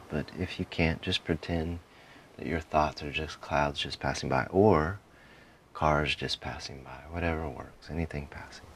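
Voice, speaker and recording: A man guiding a meditation in a calm, soothing manner, giving soft instructions in short phrases with frequent pauses. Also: a bass voice, a little hiss or hum. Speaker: meditation guide. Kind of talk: guided meditation, softly spoken instruction.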